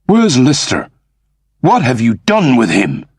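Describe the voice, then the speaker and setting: A man's voice: medium pitch, wildly swooping pitch, slow pace, slightly rough, no echo, voice pushed up, exaggerated voice. A man voicing an anxious, deferential male servant android in an audiobook, flustered and stammering. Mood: alarmed, demanding.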